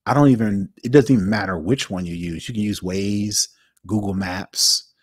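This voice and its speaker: A man's voice: on the low side, moderate pace, husky, no room sound, studio quality. A man co-hosting a tech podcast, chatting casually and hesitantly with many fillers. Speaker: podcast co-host.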